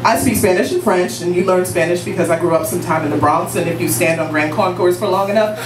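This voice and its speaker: A woman's voice: on the low side, fast, a crowd in the background. A woman in her late twenties from Guyana, telling a personal story on stage in a confident, playful, conversational way. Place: live storytelling show in a room. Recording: roomy, distant, noisy live room.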